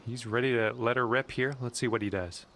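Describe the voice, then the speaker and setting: A man's voice: mid-range voice, steady tone, fairly quick, fairly bright tone. A man giving informal, colloquial golf commentary in the style of a sports video game. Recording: clear background noise.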